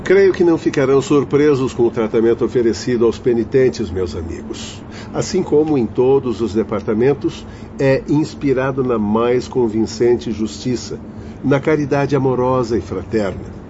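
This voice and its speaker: A man's voice: mid-range voice, moderate pace, close to an everyday voice. An actor playing a learned, solemn fatherly doctor and spirit guide in a radio drama, speaking formally and didactically. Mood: solemn, instructive. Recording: dull lo-fi audio with faint noise.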